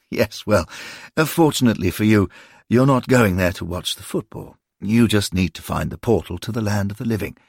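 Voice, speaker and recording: A man voicing a genteel older gentleman who frets and fusses in a husky, creaky voice. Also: fairly low pitch, normal speed, no room sound, clean studio sound. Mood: fretful. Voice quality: husky, creaky, prim and fretful.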